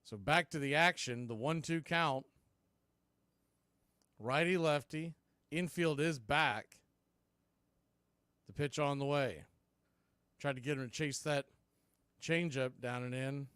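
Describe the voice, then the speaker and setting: A man's voice: a little high, slow, long pauses, fairly bright tone, clean tone, dry recording, clean studio sound. A man giving live baseball play-by-play commentary as an announcer, in clipped phrases that swell with the action. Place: indoors, calling the game from a video feed.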